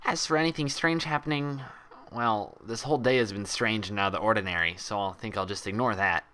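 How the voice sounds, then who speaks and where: A man's voice: lowish voice, fairly quick, pushed and bright, slight background noise. A man voicing a meek, guilty young female unicorn patient who speaks hesitantly and apologetically in a storybook reading. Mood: meek, uneasy.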